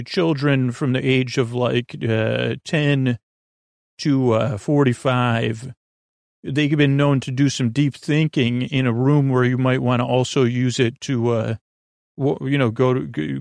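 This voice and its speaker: A man with a gravelly, fry-laden voice at an average male pitch delivers a meandering bedtime monologue. He stumbles, repeats himself and doubles back as a sleep podcast host. The sound is close and dry. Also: a little mellow, no room sound. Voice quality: creaky. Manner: meandering, halting, stammering with repeated false starts.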